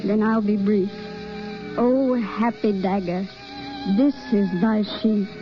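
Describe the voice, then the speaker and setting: A woman's voice: mid-range voice, slow, lightly acted. A woman playing a shy, flustered young actress who protests reluctantly, in 1930s radio acting style. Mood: theatrical, tragic. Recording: muffled 1930s radio recording, music behind.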